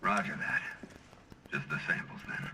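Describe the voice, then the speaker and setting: An actor playing a stern, disciplined squad leader in a video game, clipped and commanding. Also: male voice, a bass voice, measured pace, exaggerated voice. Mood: terse reporting. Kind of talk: video game cutscene acting. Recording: distant, loud noise and clicks.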